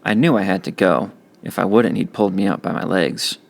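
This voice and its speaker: A man's voice: low voice, expressive, fairly quick, creaky. A man reading a first-person novel aloud as a teacher would, in audiobook narration.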